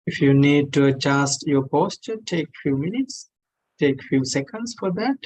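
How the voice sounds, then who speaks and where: A man who is a non-native English speaker, guiding a meditation in gentle, measured phrases with long pauses. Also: on the high side, expressive, rough recording. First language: not English. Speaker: meditation teacher. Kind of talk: guided meditation instruction.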